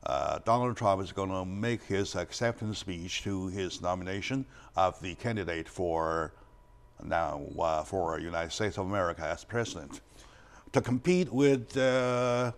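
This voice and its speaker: An older man hosts a studio talk show, animated and addressing the audience with many fillers. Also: deep voice, a little slow. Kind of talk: studio interview. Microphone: lapel mic.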